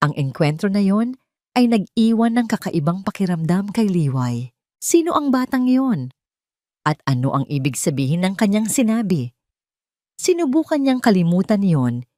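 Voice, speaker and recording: A woman narrating a scripted audio drama in a deliberate, dramatic storytelling manner. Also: fairly low pitch, mellow, no echo, clean studio sound.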